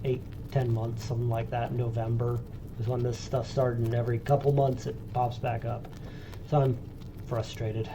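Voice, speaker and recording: A man's voice: mid-range voice, flat delivery, moderate pace, background noise. Stuffy-nosed man streaming a game. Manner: chatty and joking, rambling recaps. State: congested, stuffy and phlegmy. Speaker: let's play streamer. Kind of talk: casual gameplay commentary. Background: game music.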